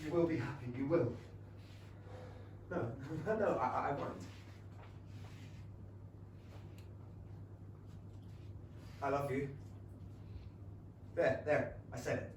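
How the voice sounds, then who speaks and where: A man acting an earnest, lovelorn period gentleman in a staged reading, with a halting delivery. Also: mid-range voice, lightly acted. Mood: impassioned confession. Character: earnest lovelorn gentleman torn between duty and love. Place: small London theatre stage, filmed. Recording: roomy theatre, distant mic, noisy room tone.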